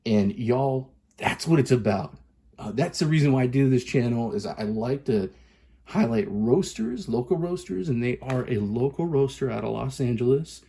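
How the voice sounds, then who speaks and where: A man in his thirties from Southern California, chatting excitedly and casually as he reviews coffee, with plenty of fillers and restarts. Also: medium pitch, normal speed, gravelly, husky. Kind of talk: casual vlog chat.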